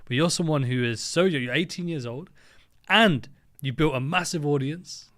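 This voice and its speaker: A man's voice: on the high side, normal speed, a bit bright, no echo, studio quality. A man hosting a podcast in a friendly, enthusiastic way, with frequent fillers. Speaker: podcast host.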